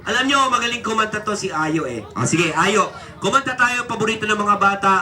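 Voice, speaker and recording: A puppeteer hosting a live comedy quiz for children, exclaiming and mock-scolding in an animated way. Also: mid-range voice, brisk. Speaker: puppeteer and children's party host.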